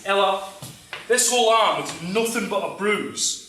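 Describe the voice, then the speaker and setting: Actor as jaunty, bright-voiced fairy-tale prince. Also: male voice, high-pitched, measured pace. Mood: boastful. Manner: jaunty, joking. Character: cheerful, boastful young prince restored from being a beast. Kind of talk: amateur stage play acting. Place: theatre stage, live performance. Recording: echoey stage from far off, slight noise.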